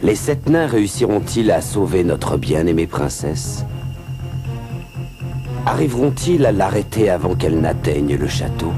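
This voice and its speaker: Low voiced guy narrating a cartoon, really dramatic, swoopy. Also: big pitch swings, measured pace. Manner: dramatic, questioning. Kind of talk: scripted cartoon recap narration. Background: music.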